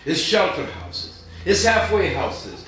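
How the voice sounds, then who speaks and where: High-voiced man reading poetry in echoey room. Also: big pitch swings, takes it slowly, a bit bright. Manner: informal, self-deprecating, rhythmic delivery. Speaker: poet. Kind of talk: live poetry reading with casual introductions. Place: live event room in Chicago. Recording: distant mic, very echoey noisy room.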